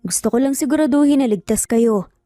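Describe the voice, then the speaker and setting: Female narrator as apologetic Filipino male stranger, restrained and mellow, dry audio-story sound. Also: high-pitched, brisk, dry recording, soft background music, lightly acted. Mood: calm, reassuring. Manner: gentle, restrained, hesitant. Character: calm, apologetic adult male stranger with a secret.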